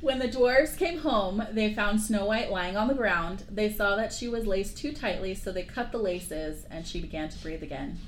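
Woman, podcast host, casual fairy-tale storytelling, slangy, medium pitch, roomy echo, faint background noise. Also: animated, moderate pace, few pauses. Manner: informal, slangy, joking asides.

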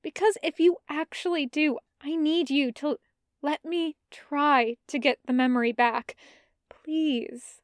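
A woman reader voicing a young woman who pleads earnestly and fiercely, her voice climbing high. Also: fairly even intonation, takes it slowly, dry recording, studio quality. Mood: pleading.

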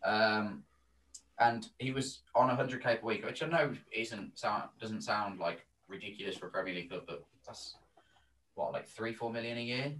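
A man's voice: on the low side, moderate pace, fairly bright tone, recorded from a distance, poor audio. A young man co-hosting a football podcast, chatting casually in a blunt, sweary, matey way. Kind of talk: casual sports banter.

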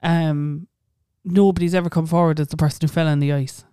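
A chatty Irish woman in her late twenties, talking casually on a podcast and filling her sentences with "like" and the odd swear word. Her voice is smooth and clear, recorded dry and close with no room sound. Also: sits low, steady tone, brisk, dark tone, no echo. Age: late 20s. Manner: chatty, swearing, says "like" a lot. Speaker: podcast co-host. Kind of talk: casual chat.

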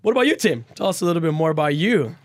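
High-pitched young Frenchman hosting a podcast. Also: lively intonation, very fast, no room sound, studio quality. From France. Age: 20s. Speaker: podcast co-host.

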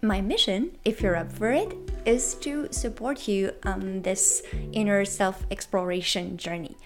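A woman's voice: mid-range voice, measured pace, soft background music. French-accented woman, podcast host, playful and upbeat, rising questions to the listener, mid-range voice. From France. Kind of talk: scripted solo podcast talk.

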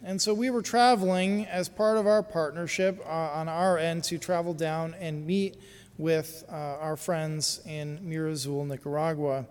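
A man who is a pastor, from the United States, telling a personal story in a sermon in an easy conversational way, with pauses and fillers. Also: a little high, fairly even intonation, smooth, in a large hall.

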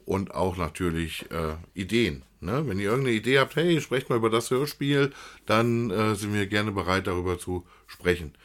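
A man's voice: lowish voice, moderate pace. A man co-hosting a casual podcast, rambling and speaking straight to the listeners. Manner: rambling, addresses listeners directly. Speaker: podcast co-host. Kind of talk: casual chat about audio dramas.

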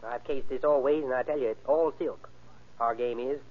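A man's voice: mid-range voice, steady tone, normal speed, lightly acted. A man acting as a cold, cocky gangster ringleader in an old-time radio crime drama, with slangy, bossy tough-guy talk. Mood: cocky, scheming. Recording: tinny, band-limited old radio recording.

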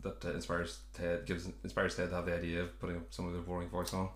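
Low-voiced podcast host. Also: male voice, completely flat delivery, quick pace, reverberant. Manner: rambling, self-correcting, chuckling. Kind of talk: casual podcast chat about a sitcom.

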